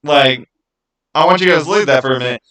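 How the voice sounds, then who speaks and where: A man with a mid-pitched voice hosts a livestream. He talks in a casual, conversational way, wandering through his thoughts as he responds to people in the chat. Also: quick pace, a bit bright. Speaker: livestream host. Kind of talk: casual livestream chat, answering viewers' comments.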